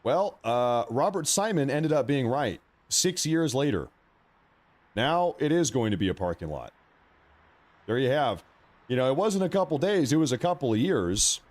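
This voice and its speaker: A man's voice: medium pitch, sing-song, normal speed, darkish tone. A man hosting a live stream, reacting informally and commenting on breaking news in a casual way.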